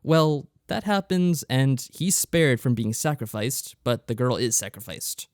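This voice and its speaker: A man's voice: mid-range voice, expressive, measured pace, no room sound, clean studio sound. A man co-hosting a podcast, explaining things in a casual, loosely phrased review style. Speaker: podcast co-host.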